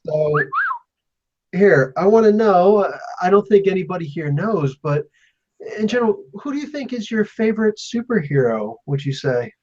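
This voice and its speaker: A man's voice: sits high, lively intonation, normal speed, darkish tone. A man interviewing a guest in a casual, joking chat, laughing often. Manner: laughing, joking, loose questions. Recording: dull lo-fi sound.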